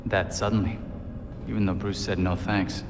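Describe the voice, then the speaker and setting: An actor playing a wry, resolute young vigilante leader in a video game, with an American accent and a confident delivery. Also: male voice, sits low, level tone, normal speed, noisy background. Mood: serious. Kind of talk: video game cutscene acting. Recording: long reverb, music behind.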